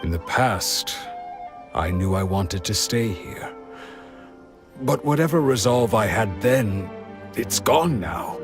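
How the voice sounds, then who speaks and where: A weary older villager with an empty, faraway heart. His low voice moves very slowly and hesitantly, and game music sits under him. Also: lively intonation. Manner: slow, hollow, hesitant. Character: weary older male villager who lost his memories. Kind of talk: video game dub.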